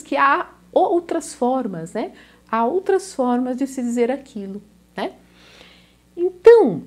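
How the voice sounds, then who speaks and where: High-voiced São Paulo teacher woman. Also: a little mellow. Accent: São Paulo Brazilian Portuguese. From Brazil. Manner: chatty, ends phrases with a questioning tag. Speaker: language teacher. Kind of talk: casual video lesson to camera.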